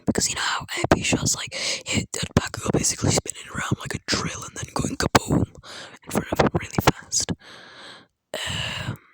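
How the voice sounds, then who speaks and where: A person speaking in a breathy, unvoiced whisper, telling an improvised fan story in a rambling, halting way, close to a phone. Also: a bit bright, low-quality recording. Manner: rambling, halting, acting out characters' dialogue in passing.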